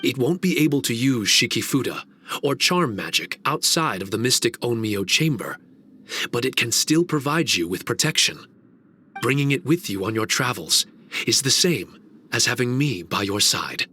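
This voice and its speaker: A man's voice: medium pitch, lively intonation, normal speed, sharp tone, a little hiss or hum. A man playing an earnest, dutiful guardian spirit in a video game, speaking formally and courteously. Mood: grateful. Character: earnest, dutiful male guardian spirit of a mystic training domain. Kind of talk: video game cutscene voice acting.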